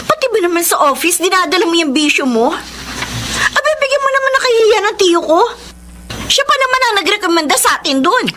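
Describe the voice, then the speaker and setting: A scolding, very high-voiced girlfriend. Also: sing-song, a little fast, sharp tone, slight background noise, exaggerated voice. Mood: reproachful. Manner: reproachful, scolding. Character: young woman, sharp-tongued girlfriend. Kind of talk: radio drama acting.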